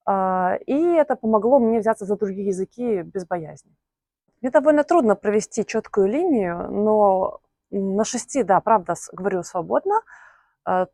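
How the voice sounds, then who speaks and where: A young woman from Belarus talking casually to camera, thoughtful and hesitant, pausing often with filler sounds. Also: medium pitch, warm tone, no room sound. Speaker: vlogger.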